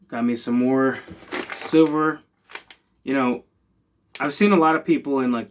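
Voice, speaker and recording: A man's voice: medium pitch, a little hiss or hum. A man, chatting casually as he unboxes items for his channel, rambling with lots of fillers. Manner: rambling, filler-heavy, relaxed. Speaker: channel host.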